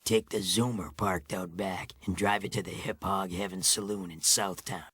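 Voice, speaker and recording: A sardonic male resistance commander from a video game. His voice is deep and husky, and he gives orders tersely in a close, dry recording. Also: fairly even intonation, moderate pace, a bit bright, no room sound. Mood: commanding. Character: gruff, sardonic male underground resistance leader. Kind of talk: video game cutscene acting.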